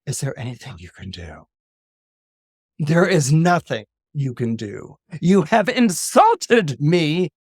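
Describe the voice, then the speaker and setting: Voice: medium pitch, sing-song, a little mellow, breathy edge, dry recording, voice pushed down, full character voice. Imperious female deity for oral myth telling, acted out by the storyteller: aloof, stern, commanding, dry recording. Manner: commanding, slow, pronouncing. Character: imperious wrathful goddess, female, coldly aloof.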